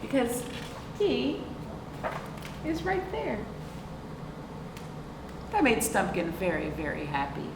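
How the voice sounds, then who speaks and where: A woman reads a picture book to young children at an unhurried pace, with lively swings in pitch. She is expressive and turns to her listeners with questions. The sound is roomy, picked up from a distance. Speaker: librarian hosting a children's storytime. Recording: roomy, distant microphone, clear background noise.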